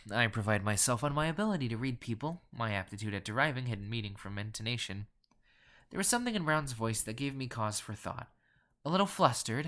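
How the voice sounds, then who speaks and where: A man's voice: medium pitch, a bit bright, lightly acted. A man playing a pompous, ruthless wealthy collector, telling a dramatic horror story in formal, cool, theatrical phrasing. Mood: haughty, guarded. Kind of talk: dramatic first-person horror narration.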